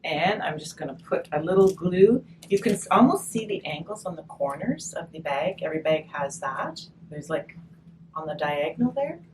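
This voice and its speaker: A woman's voice: sits low, animated, moderate pace. A woman giving a casual step-by-step craft demonstration, chatty and encouraging, thinking aloud as she works, recorded on a distant mic. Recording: distant mic, noisy room ambience.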